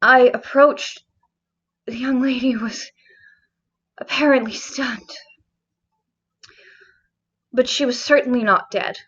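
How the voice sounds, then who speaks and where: A woman narrator reading gothic fiction from a script in a deliberate, expressive, literary manner. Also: fairly high pitch, slightly rough, muffled recording. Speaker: audiobook narrator.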